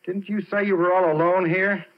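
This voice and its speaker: A man's voice: sits high, flat delivery, normal speed, lightly acted. An actor in a 1940s radio drama playing a skinny, jittery oil-field man, with a hesitant, colloquial way of talking. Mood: uneasy, puzzled. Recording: muffled 1940s radio recording.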